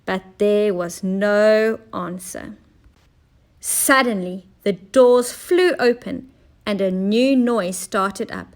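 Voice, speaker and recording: A woman teacher narrating a children's story in an expressive, deliberate way. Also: mid-range voice.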